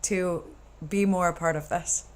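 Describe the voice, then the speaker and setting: A woman in casual talk, effusive and gushing, who trails off softly at the ends of her thoughts. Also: on the low side, steady tone, unhurried. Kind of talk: heartfelt casual chat.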